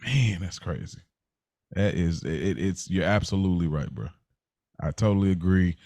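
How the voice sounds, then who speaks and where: A man's voice: low-pitched, animated, normal speed, a little mellow, no echo. American man chatting casually, dry close sound. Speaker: podcast co-host. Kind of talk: casual conversational podcast chat.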